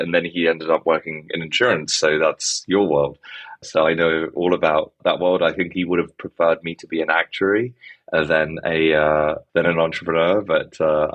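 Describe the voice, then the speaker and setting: A man from the Czech Republic who sounds English talks through a founder interview. His voice sits very low. He rambles, padding his thoughts with "kind of" and "basically". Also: a bit bright, muffled recording. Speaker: guest, startup founder. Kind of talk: conversational interview.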